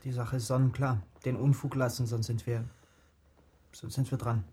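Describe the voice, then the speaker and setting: A man's voice: mid-range voice, flat delivery, normal speed, mellow, slightly rough, close to an everyday voice. An actor playing a restless, defiant teenage boy from a poor family in a radio drama, agitated and sullen. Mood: sober.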